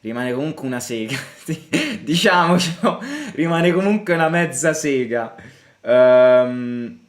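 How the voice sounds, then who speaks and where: A young man from Italy hosting a live football watchalong. He chats casually, answers questions from viewers and breaks into excited reactions to the play. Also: medium pitch, unhurried. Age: young adult. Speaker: fan channel host.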